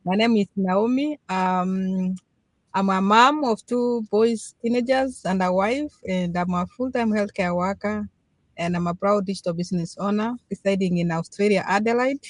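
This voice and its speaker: A woman's voice: mid-range voice, normal speed, no room sound. A woman in her forties from Kenya, introducing herself politely on a live online panel. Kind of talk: casual live-stream chat.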